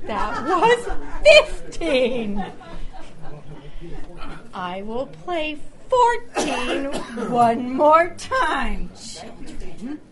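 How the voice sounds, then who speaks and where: A woman's voice: sits high, huge pitch swings, extremely slow, long pauses, a crowd in the background. A woman hosting a live quiz at a club luncheon, calling out numbers and teasing the group like a mock schoolteacher. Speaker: host of a music quiz game. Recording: live event in a restaurant room.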